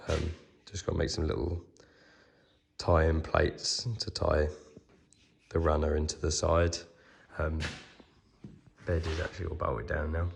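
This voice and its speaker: Man, croaky with a cold, very low gravelly voice, relaxed rambling with ums, handheld camera in a workshop. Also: unhurried, long pauses, a little hiss or hum. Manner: relaxed, many ums, trailing off. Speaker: vlogger restoring a truck. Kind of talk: casual workshop walkaround.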